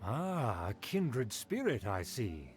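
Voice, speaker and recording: A man's voice: mid-range voice, big pitch swings, slow pace. An actor voicing a haughty, condescending male aristocrat in a video game, speaking in a pompous, formal way. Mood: approving.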